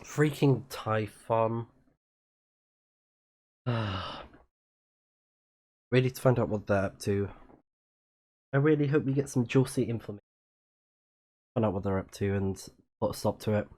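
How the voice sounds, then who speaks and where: A man's voice: fairly low pitch, measured pace, long pauses, mellow. A man livestreaming a video game, giving casual commentary and reading game text aloud. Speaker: game streamer.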